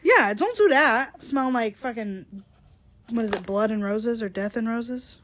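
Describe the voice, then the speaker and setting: A young woman with a slightly phlegmy voice co-hosting a casual book podcast, blunt and quick to swear. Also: on the high side, lively intonation, a bit bright, slight background noise. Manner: blunt, swears freely, laughs easily. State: a bit phlegmy. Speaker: podcast co-host. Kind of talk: casual book club chat.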